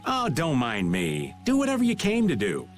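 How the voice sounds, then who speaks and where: Video game role: a slippery middle-aged drifter, playful and teasing, hugely animated delivery, music underneath. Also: male voice, medium pitch, moderate pace. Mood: feigned innocence. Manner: theatrical, teasing, feigned innocence. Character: shady, jovial older man, playful slippery drifter.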